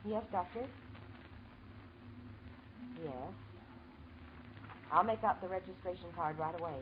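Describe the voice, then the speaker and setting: An actress playing a brisk, efficient hospital switchboard operator in 1940s radio drama, clipped and businesslike. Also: on the low side, close to an everyday voice. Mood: businesslike, urgent. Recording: dull, noisy old radio recording.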